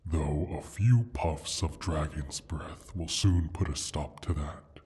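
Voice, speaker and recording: A man voicing a proud, sly male dragon in an ASMR fantasy roleplay, speaking in a deliberate, formal, teasing way with a husky, breathy rumble. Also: warm tone, full character voice. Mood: grudging gratitude. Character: injured male dragon, proud, sly, possessive of his hoard.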